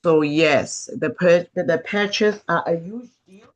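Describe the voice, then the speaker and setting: A woman's voice: fairly low pitch, slow. A woman hosting a casual group video call who talks in an enthusiastic, effusive way and laughs often.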